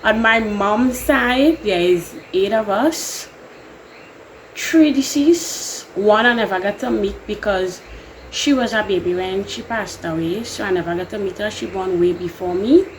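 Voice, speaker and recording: A woman's voice: medium pitch, a little slow, a little hiss or hum, poor audio. A young woman from Trinidad and Tobago with a Trinidadian accent, chatting casually and rambling through a get-to-know-me Q&A video. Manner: chatty, rambling, laughs often. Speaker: YouTuber. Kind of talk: casual Q&A vlog.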